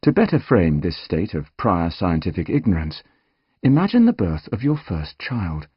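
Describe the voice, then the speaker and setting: A man reading scripted nonfiction as an audiobook narrator, measured and articulate, with dramatic emphasis. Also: deep voice, wildly swooping pitch, husky, no echo, muffled recording.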